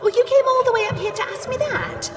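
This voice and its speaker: A woman's voice: extremely high pitch, expressive, fast. A woman playing a hearty, enthusiastic upper-class dragon breeder in a live radio comedy drama, with plummy, gushing speech and jolly old-fashioned exclamations. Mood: chatty. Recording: live stage, long reverb, music behind.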